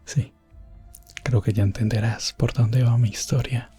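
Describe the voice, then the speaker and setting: A man playing an elf prince adventurer, telling a fantasy ASMR tale in a soft, breathy, often whispered voice with solemn pauses. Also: medium pitch, steady tone, soft background music. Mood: calm, reflective. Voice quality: breathy, hushed. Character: elf prince adventurer recounting his rescue quest, gentle and solemn. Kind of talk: ASMR fantasy roleplay storytelling.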